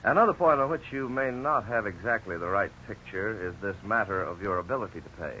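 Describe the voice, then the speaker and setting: An actor playing a frank, courteous lawyer in a 1950s radio drama, speaking in a formal, measured, explanatory way. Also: male voice, lowish voice, lively intonation, pushed and bright. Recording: dull, noisy 1950s radio recording.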